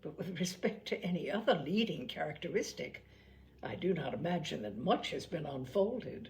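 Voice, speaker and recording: A woman voicing a witty, principled young Regency gentlewoman with a wry, articulate, formal manner in a novel reading. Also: low-pitched, lively intonation, moderate pace, voice pushed down. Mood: wry, reasoning.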